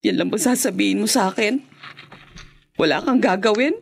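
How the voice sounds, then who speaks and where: A woman's voice: medium pitch, expressive, moderate pace, vocal fry, slight background noise. An actress playing a worried married woman who scolds her younger brother, in a radio drama. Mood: arguing. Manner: stern and probing, breaking into distress. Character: worried married woman, strict older sister.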